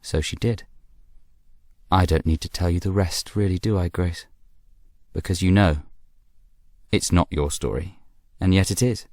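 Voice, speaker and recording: Smug young british schemer confiding in a letter, really deep voice, knowing and arch, dry studio radio play. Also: male voice, steady tone, a little mellow, no room sound. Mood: confiding, measured. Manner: arch, measured, knowing asides. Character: self-assured, chatty, scheming young man, secret half-brother. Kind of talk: confiding radio drama monologue, reading a letter.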